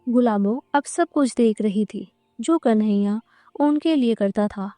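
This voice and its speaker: Female narrator for cartoon stories: warm, rounded tone, a little high, scripted delivery. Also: a little slow, faint music behind.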